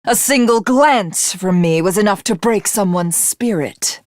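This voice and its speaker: A woman voicing a proud, cold warrior commander in a video game, speaking in a formal, commanding and measured way. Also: medium pitch, no echo, clean studio sound, natural voice. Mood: exultant, boastful. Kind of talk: video game character voice acting.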